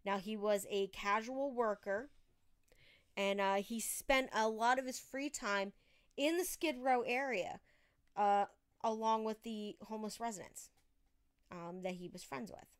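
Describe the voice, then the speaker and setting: Slow-paced, clear-voiced woman podcaster. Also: medium pitch. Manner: informal, conversational, emphatic asides. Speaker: true crime podcast host. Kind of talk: casual true crime storytelling.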